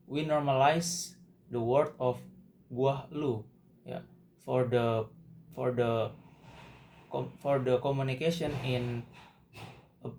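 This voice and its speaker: A young man from Indonesia with an Indonesian accent, a university student speaking English in a class assignment monologue, halting and restarting often. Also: mid-range voice, darkish tone, slight background noise. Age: young adult. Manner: slow, halting, with frequent restarts and repeated words.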